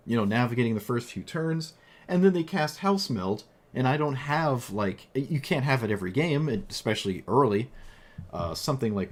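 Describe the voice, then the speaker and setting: A man who is losing his voice after a long stream, talking casually about a card game deck in a rambling way with lots of fillers and restarts. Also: medium pitch. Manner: rambling, with frequent fillers and self-corrections. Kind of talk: casual gameplay commentary.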